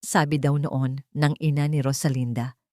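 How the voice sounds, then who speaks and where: A low-voiced woman narrates a scripted romantic drama. Her delivery is measured and dramatic, broken into short storytelling phrases. The recording is close and dry, with no room around the voice. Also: steady tone, a little mellow, no echo.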